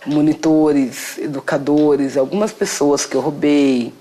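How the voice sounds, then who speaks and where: A woman giving reflective, halting interview testimony, often restarting her words. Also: low voice, fairly even intonation, dark tone. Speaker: interviewee, author. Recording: noisy background.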